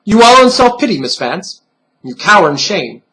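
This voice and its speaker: A man's voice: sits high, big pitch swings, moderate pace, pushed and bright, pitched above the performer's own voice, heavily acted, rough recording. Man voicing a patronizing, solemn bunny pin mentor. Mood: stern lecturing. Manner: formal, lecturing, condescending.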